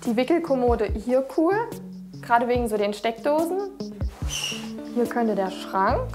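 A woman's voice: fairly high pitch, animated, a little slow. A 29-year-old woman chatting casually in a documentary, light and self-mocking as she talks. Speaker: reality documentary participant. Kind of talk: casual chat. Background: background music.

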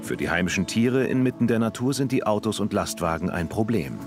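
A man narrating a travel documentary in a calm, even storytelling manner, reading a script. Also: lowish voice, fairly quick. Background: music.

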